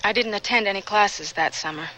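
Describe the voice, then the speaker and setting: An actress playing a troubled young woman with a guilty past, confessing earnestly and haltingly in a radio drama. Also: medium pitch, pushed and bright, natural voice, low-quality recording. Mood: reflective.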